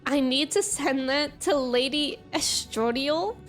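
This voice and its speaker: A woman's voice: sits high, slow pace, faint music behind. A woman hosting a game livestream, chatting casually in a playful, giggly manner. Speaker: game streamer and host.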